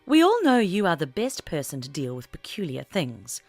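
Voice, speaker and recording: Genteel older suffragist lady, acted by a woman reader, assured, warm, gracious, very varied intonation, storybook drama. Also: on the low side, wildly swooping pitch, moderate pace, dry recording, faint music behind, heavily acted. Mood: warm, frank.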